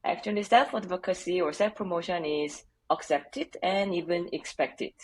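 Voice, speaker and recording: Korean-accented woman, soft husky voice. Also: lowish voice, normal speed, low-quality recording. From South Korea. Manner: earnest, laughs lightly between points. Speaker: HR leader, podcast guest. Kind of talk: conversational interview answers.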